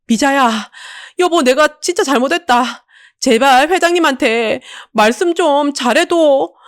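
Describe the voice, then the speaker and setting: A woman's voice: high voice, no echo, studio quality, voice pushed up. A woman playing a cowardly cheating husband who begs desperately with a Gyeongsang accent in an audiobook drama. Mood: desperate begging. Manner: pleading, drawn-out, desperate.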